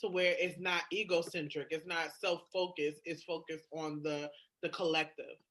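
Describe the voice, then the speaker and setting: A woman's voice: sits low, fairly even intonation, normal speed, fairly bright tone. A woman in a candid, casual podcast conversation, explaining her points emphatically with everyday slang. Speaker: podcast co-host.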